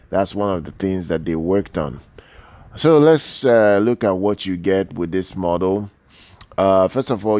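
A man giving an informal product review as a voice-over, halting and often repeating himself as he goes. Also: low voice, darkish tone, no echo. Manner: halting, with frequent repeats and hesitations.

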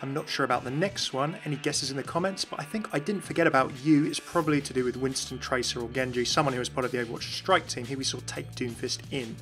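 A man's voice: medium pitch, quick pace, few pauses, fairly bright tone, soft background music. A man hosting a game lore video, talking through voice lines in a chatty, enthusiastic voice-over. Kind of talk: scripted commentary voice-over.

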